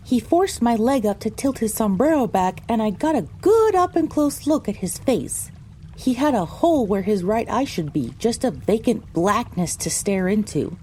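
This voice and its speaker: Woman voicing a bright-less, higher-pitched pony storyteller. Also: very animated, normal speed, a little hiss or hum. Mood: dramatic recounting. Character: frightened young female pony recounting her misadventure. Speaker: narrator. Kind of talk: first-person audio drama narration.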